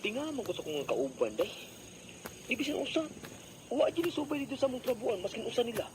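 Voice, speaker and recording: A man's voice: very high-pitched, big pitch swings, brisk, heavy background noise. A man acting an aggrieved working husband in a radio drama, earnest and easily upset, with a Cebuano Filipino accent. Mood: weary, concerned.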